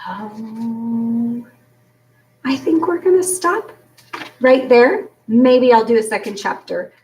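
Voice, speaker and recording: A woman reading a children's book aloud in an expressive storytelling style. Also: fairly high pitch, slow. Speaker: story reader. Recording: roomy echo, distant from mic.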